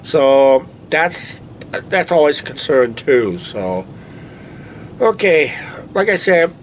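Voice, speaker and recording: A man's voice: on the high side, big pitch swings, takes it slowly, slight background noise. Stuffy-nosed man rambling outdoors. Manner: meandering, filler-heavy, "uh, basically" talk. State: stuffy blocked nose. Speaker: co-host of a vlog channel. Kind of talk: casual rambling chat over a beer. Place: outdoors at night near a shed. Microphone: camera on a tripod.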